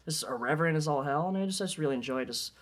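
A man's voice: a little high, rapid-fire. A man co-hosting a podcast in loose, joking conversation, with irreverent, self-mocking humor. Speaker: podcast co-host. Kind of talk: casual joking chat.

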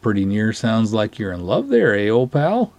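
A man's voice: on the low side, wildly swooping pitch, normal speed, darkish tone, no echo. A man voicing a cop's teasing, incredulous small-town pal in a dramatic story reading, casual and needling.

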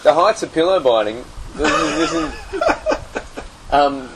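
A man chats and spins informal stories among friends in a slightly high voice. The recording is swamped by loud background noise. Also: unhurried. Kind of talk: casual anecdotes among friends. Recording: very noisy group field recording.

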